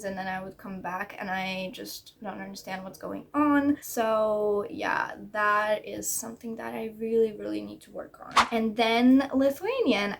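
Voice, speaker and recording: Woman vlogger, casual and self-critical, mid-range voice, light background hum. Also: mid-range voice. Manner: chatty, self-critical, lots of "like" fillers. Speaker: language learning vlogger. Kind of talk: casual vlog update.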